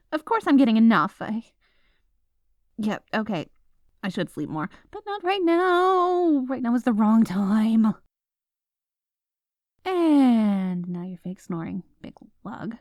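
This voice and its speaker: A woman acts out a stubborn, overtired writer girlfriend in an ASMR roleplay. Her voice is high and unhurried, recorded close and dry. She rambles and protests with dramatic indignation. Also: big pitch swings, darkish tone, no room sound. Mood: stubborn, flustered.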